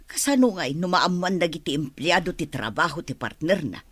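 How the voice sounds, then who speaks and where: A woman's voice: on the low side, animated, brisk, fairly bright tone, slightly rough, dry recording. A woman with an Ilocano accent acting in a radio drama as an inquisitive, concerned member of a mansion household. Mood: worried.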